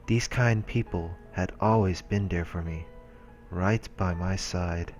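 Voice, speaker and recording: A man reading visual novel text aloud in a casual, halting way, as a let's player. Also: low voice, darkish tone, muffled recording, faint music behind.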